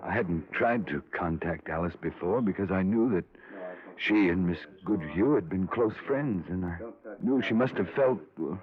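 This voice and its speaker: Male, lowish voice: shaken airline manager, halting and flustered, vintage radio drama, muffled recording. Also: lively intonation. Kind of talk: 1950s radio crime drama acting.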